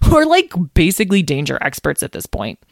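A woman voicing an excitable young storybook dragon with casual, exaggerated, chatty delivery. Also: low voice, a little fast, vocal fry, dry recording, clean studio sound, exaggerated voice. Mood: scornful, dramatic. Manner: casual, exaggerated, youthful slang.

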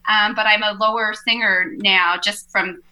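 A woman's voice: mid-range voice, steady tone, moderate pace, pushed and bright, low-quality recording. A woman, a singer and teacher in a band, chatting in an interview, laughing easily and joking about herself. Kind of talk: casual interview chat.

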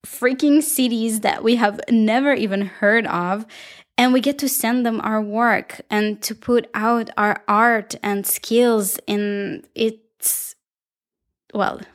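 Israeli woman, young adult, solo podcast host and dancer, reflective and upbeat, speaks warmly to her listeners, medium pitch.

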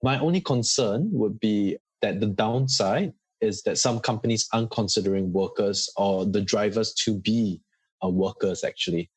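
Husky low-voiced Singaporean man. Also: normal speed, no room sound. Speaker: interview guest. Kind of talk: conversational interview answers.

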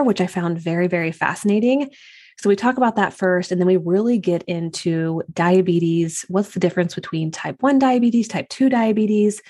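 Brief: effusive woman host with a slightly low voice, introducing a guest. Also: a little mellow. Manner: upbeat, effusive and friendly. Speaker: podcast host. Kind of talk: podcast intro and interview.

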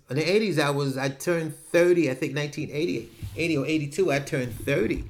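A man, talking frankly and rambling through a casual personal monologue with many restarts. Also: medium pitch. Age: 70s. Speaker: podcast host.

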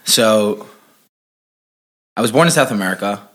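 Young male guest from Chile, deep voice, easygoing talker. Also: animated, unhurried, a bit bright. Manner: laid-back, lots of "like" and "uh". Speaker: podcast guest. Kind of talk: casual interview chat.

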